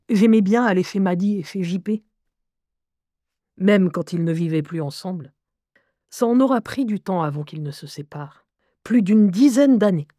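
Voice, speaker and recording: Soft-toned woman narrator, very dry sound. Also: fairly low pitch, no echo. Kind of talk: intimate first-person scripted narration.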